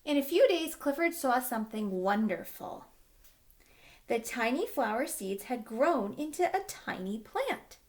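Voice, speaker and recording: A woman reads a picture book aloud to young children. Her voice sits fairly high and moves up and down a great deal, leaning into words for emphasis. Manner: expressive, with drawn-out emphasis for young listeners. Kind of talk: animated storybook read-aloud.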